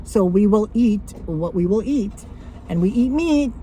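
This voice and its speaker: A woman talking casually to camera about her thrift finds, rambling with lots of fillers and a loud voice. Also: medium pitch, animated, unhurried, a little hiss or hum. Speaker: thrift haul vlogger.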